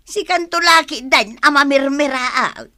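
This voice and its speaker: A woman with a northern Filipino accent playing a hardworking, expressive village wife and vendor in a comedic radio drama. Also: high voice, measured pace. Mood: nagging. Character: hardworking, nagging village wife selling rice cakes.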